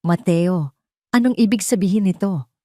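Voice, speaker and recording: A woman's voice: lowish voice, moderate pace, warm tone, no echo, clean studio sound, voice pushed up, close to an everyday voice. A woman voicing a cold, wealthy older mother-in-law who scolds with contempt in an audio drama. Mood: demanding.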